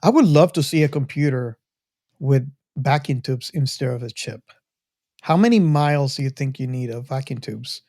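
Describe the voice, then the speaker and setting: A man chatting casually on a discussion show, often halting and repeating his phrases. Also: medium pitch, mellow, dry recording, studio quality. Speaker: podcast host or panelist. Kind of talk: casual chat.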